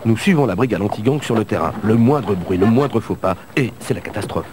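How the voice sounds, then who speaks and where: Un homme qui commente un bêtisier sur un ton faussement sérieux et ironique. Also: male voice, on the low side, animated, fairly quick. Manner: mock-serious, ironic. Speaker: narrator of a blooper show. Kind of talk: jokey voice-over narration. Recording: loud background noise.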